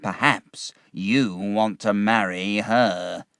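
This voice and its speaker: A man voicing a fawning, scheming young man who talks slowly and ingratiatingly. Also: lowish voice, vocal fry, a bit bright, dry recording, studio quality, full character voice. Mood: sly.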